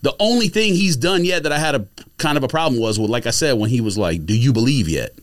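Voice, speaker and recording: A man's voice: mid-range voice, animated, fast talker. A man in a casual, opinionated sports podcast debate, speaking emphatically and argumentatively with plenty of slang.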